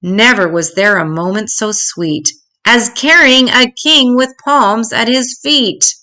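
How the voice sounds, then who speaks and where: An older woman reading a rhyming children's story aloud in an expressive, dramatic, deliberate way. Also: fairly high pitch.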